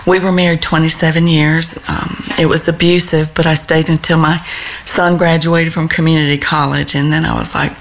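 Woman, sixties, candid, laughs easily, very low pitch, gravelly. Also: level tone, normal speed, slight background noise. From United States. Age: 60s. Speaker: guest sharing her testimony. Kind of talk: personal testimony interview.

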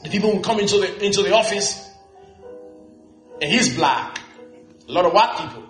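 A man preaching a lively sermon as pastor, with jokes, asides and laughter, in a church. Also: sits high, moderate pace. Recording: echoey church with music.

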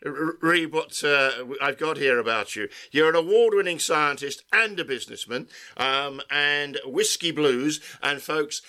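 A man hosting an interview, speaking haltingly with frequent restarts and stammers. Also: on the high side, pushed and bright. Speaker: interviewer host.

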